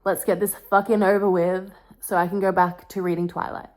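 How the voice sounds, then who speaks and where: A woman's voice: medium pitch, flat delivery, fairly quick, darkish tone. A young woman vlogging in a casual, confessional way, with a melodramatic, wry and self-mocking manner. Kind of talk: casual confessional vlog with dramatic book reading.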